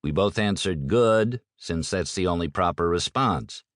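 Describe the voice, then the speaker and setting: A man's voice: sits low, lively intonation, unhurried, fairly bright tone, no room sound, clean studio sound. A man reading a first-person audiobook in a wry, conversational manner. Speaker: audiobook narrator.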